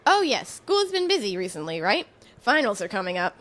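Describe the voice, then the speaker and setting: A woman playing a kindly college professor in a naturalistic audio drama, fond and gently teasing. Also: mid-range voice, sing-song, a little fast.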